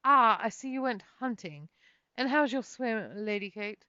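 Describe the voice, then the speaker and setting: Woman playing a haughty, raised-pitch nobleman. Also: animated, bright, forceful tone, dry recording, highs cut off. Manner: pompous, emphatic, halting. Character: haughty, imperious nobleman cousin, snooty and demanding.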